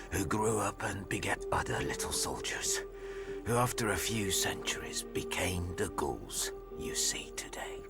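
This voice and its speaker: A man voicing a grave, embittered old leader in a video game, telling lore in an ominous, gravelly mutter. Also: very deep voice, full character voice. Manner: slow, ominous, breathy muttered storytelling. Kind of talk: dramatic game narration. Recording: loud music behind the voice. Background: loud game music.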